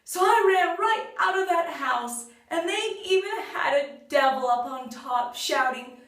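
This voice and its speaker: A very echoey, distant recording of a woman playing a scared storybook robber. The voice is high for a man, loud and agitated, rushing through the account. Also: lively intonation. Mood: frightened, agitated. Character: frightened male robber recounting his ordeal. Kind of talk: storybook character monologue. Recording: roomy echo, far from mic.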